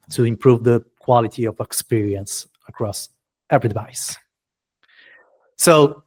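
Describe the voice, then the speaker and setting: A man with a mid-pitched voice hosts an informal meetup presentation. He sounds enthusiastic, often halting and repeating himself, with non-native-sounding English phrasing. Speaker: meetup host presenting project proposals. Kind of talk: informal presentation to a tech community.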